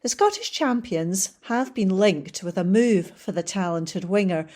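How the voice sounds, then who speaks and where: Female volunteer reader, slow steady delivery, mid-pitched, newspaper articles. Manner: steady, measured reading. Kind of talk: reading newspaper articles aloud.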